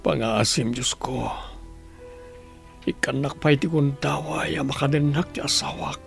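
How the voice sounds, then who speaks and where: A man's voice: on the high side, a little slow, creaky, faint music behind. A man playing an earnest, anguished doctor in a radio drama, with solemn, pleading delivery. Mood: anguished, prayerful. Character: anguished adult male doctor, earnest, insistent. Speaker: voice actor.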